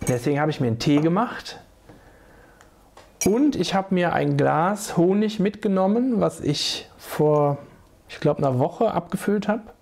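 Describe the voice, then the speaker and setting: A man's voice: high voice, lively intonation, unhurried, creaky, darkish tone. A man in his forties with a cold, casually explaining beekeeping to viewers in a small home room, talking to a desk webcam. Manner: chatty, restarts sentences, addresses viewers directly. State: hoarse from a cold. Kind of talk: casual how-to video.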